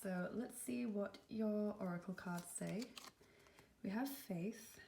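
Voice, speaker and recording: A young woman with a cold and a slightly sniffly voice, giving a gentle, reassuring tarot reading with some softly whispered asides. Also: medium pitch, takes it slowly, creaky, a little hiss or hum. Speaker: tarot reader. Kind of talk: pick-a-card tarot reading.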